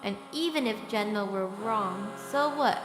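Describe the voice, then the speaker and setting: A woman playing an anxious, apologetic, cash-strapped young streamer in an audio drama, halting and self-justifying. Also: medium pitch, background noise, lightly acted. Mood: brooding. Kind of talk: audio drama acting with narration.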